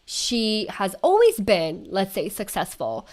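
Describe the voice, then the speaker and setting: A woman hosting a casual interview show who gushes over her guest and rambles warmly through her questions. Her voice sits at a middle pitch for a woman. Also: huge pitch swings, slow. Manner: gushing, effusive, rambling. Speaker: podcast host.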